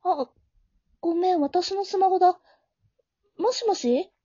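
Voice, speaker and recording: A woman playing a gentle, naive young woman, a doting childhood friend, in an amateur romantic audio drama, with a soft, sweet manner. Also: very high voice, takes it slowly, noisy background. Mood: gentle, casual. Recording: dull, lo-fi home recording.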